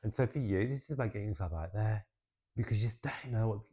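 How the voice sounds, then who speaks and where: A young man giving a casual, expressive tarot and astrology reading, chatty and swearing now and then, with "right?" tacked onto his points. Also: on the low side, fast talker, slightly rough. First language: English.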